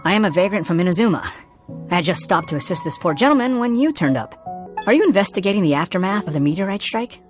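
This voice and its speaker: A man voicing a polite, enigmatic young vagrant in a video game, smooth and faintly amused. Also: high-pitched, big pitch swings, quick pace. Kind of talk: video game character acting. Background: background music.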